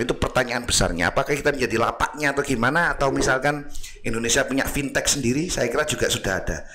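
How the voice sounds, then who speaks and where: A man's voice: fairly low pitch, sharp tone, a little hiss or hum. A man hosting a casual commentary, chatty with laughing asides. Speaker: commentary host.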